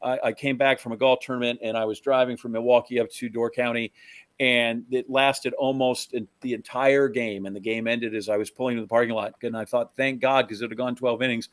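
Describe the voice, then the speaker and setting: A middle-aged man co-hosting a sports podcast, chatting casually with strong opinions and rambling, halting phrasing. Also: mid-range voice, fairly even intonation, no echo.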